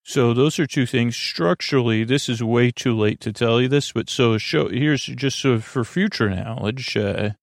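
A man hosting a bedtime podcast in a rambling monologue, halting and stammering with frequent false starts and self-corrections, in a gravelly voice. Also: medium pitch, no room sound, clean studio sound. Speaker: podcast host.

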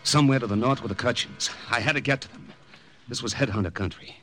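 An actor playing a tense American wartime agent recounting a jungle mission in dramatic, clipped 1950s radio style. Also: male voice, mid-range voice, fast, lightly acted. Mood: grim, tense. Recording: old radio recording with loud music.

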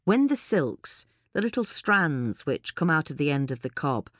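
A woman's voice: sits low, very animated, a little slow, fairly bright tone, dry recording, clean studio sound. A woman presenting a gardening guide as scripted instructional narration.

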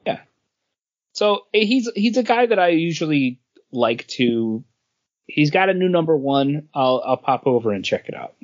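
A man co-hosting a podcast in casual comic book chat, halting as he searches for words, on a dull recording. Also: on the high side, very animated. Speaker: podcast co-host.

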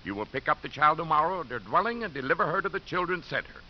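Stern courtroom judge, man, formal, emphatic pitch swings, radio drama, faint hiss. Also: sing-song, fast. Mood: stern, official. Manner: brusque, formal. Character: stern, authoritative male juvenile court judge. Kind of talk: 1950s radio drama acting. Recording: hissy muffled 1950s radio recording.

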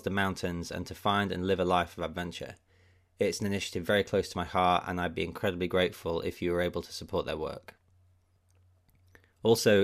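A man hosting a podcast, reading a scripted introduction in a measured, even way. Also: deep voice, vocal fry. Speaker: podcast host.

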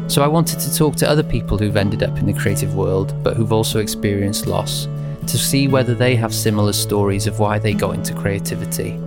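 A man hosting a podcast, reading a calm scripted intro and then chatting with a guest in a measured, friendly way. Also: on the low side. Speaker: podcast host.

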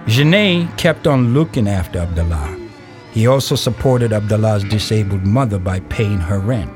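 A man reading scripted narration for an audio play in a measured storytelling way. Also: fairly low pitch, expressive. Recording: music behind the voice. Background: music.

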